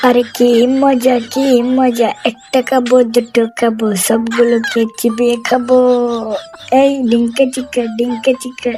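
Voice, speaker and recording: A woman voicing a greedy, excitable thieving fox in a cartoon animal story. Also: fairly high pitch, a little slow, faint music behind, close to an everyday voice. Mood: gleeful.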